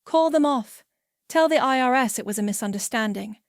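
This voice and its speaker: A woman's voice: fairly high pitch, animated, normal speed, no room sound, clean studio sound. A woman reading the lines of a furious middle-aged husband who accuses and demands in a raised voice. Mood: demanding. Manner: angry, pressing, raised voice.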